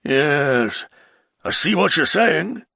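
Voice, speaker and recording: A man voicing a moody, blustering middle-aged nobleman who draws out his words and sometimes shouts. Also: on the high side, animated, vocal fry, no echo, clean studio sound, voice pushed up, full character voice. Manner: loud, drawn-out, exclamatory delivery, sometimes shouting.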